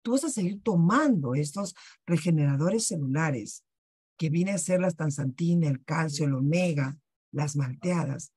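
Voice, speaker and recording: Woman, Peruvian Spanish accent, low husky voice, dry close sound, persuasive video call host. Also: lively intonation, normal speed, a little mellow, dry recording. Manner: persuasive, asks rhetorical questions. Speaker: wellness workshop host. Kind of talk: sales presentation on a video call.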